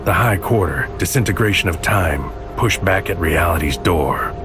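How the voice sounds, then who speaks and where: An actor playing a troubled, haunted agent in a surreal audio drama, speaking in a brooding, deliberate, poetic way. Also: male voice, low voice, expressive. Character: troubled, haunted male agent narrating his own surreal missions. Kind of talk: noir-like poetic audio drama narration and dialogue. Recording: music bed under the voice.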